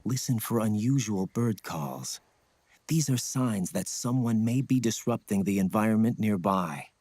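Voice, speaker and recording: An actor playing a stoic ninja scout mentor in a video game, speaking in a grave, measured, husky voice. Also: male voice, expressive, a little mellow, dry recording. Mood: solemn, instructive. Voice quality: low, husky. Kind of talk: dramatic video game acting.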